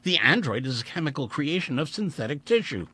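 A man's voice: a little high, big pitch swings, a little fast, pushed and bright, husky, no room sound, pitched above the performer's own voice, exaggerated voice. Male reader voicing a composed, unmoved android; formal and level, dry close sound. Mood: calm.

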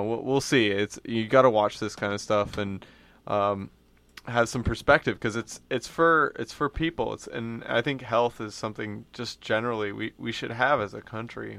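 Gravelly, bright, low-voiced man chatting. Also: no echo. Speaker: radio show participant. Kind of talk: casual live radio chat.

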